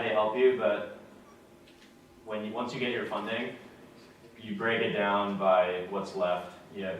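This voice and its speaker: A man's voice: lowish voice, distant mic, a little hiss or hum. Young man speaking in an echoey lecture room. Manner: relaxed, plain-spoken, many fillers. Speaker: guest speaker. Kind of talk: casual talk to a student audience. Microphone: far camera.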